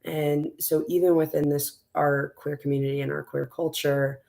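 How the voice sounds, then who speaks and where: A woman with a raspy, strained voice, a school board candidate talking as a guest in a relaxed interview, rambling with lots of fillers and restarts. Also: deep voice, normal speed. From West Texas. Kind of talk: casual conversational interview.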